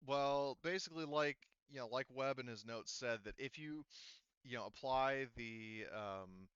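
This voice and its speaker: A man's voice: medium pitch, a little slow, fairly bright tone, clear, resonant tone, no room sound, dull audio. A man running a tabletop roleplaying game as game master, explaining casually in a hedging way and repeating himself.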